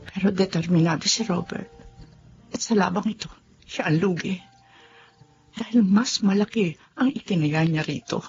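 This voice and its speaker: A woman's voice: fairly low pitch, normal speed, long pauses, darkish tone, soft background music, lightly acted. A woman playing a mother hiding a secret love affair, defensive and guarded, also narrating in first person in a slightly muffled radio drama. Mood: reflective.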